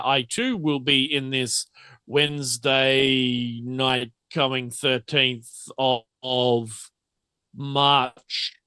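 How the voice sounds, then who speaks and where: A man hosts a casual stream sign-off as game master. He rambles in an unhurried, jokey way, poking fun at himself. His voice is bright and smooth, recorded dry. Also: mid-range voice, dry recording. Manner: rambling, self-deprecating, jokey.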